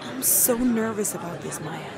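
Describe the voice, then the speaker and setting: A woman's voice: mid-range voice, expressive, normal speed, lightly acted, low-quality recording. A woman playing an anxious, nervous woman in an amateur audio drama. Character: anxious female event speaker. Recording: busy crowd in large room behind.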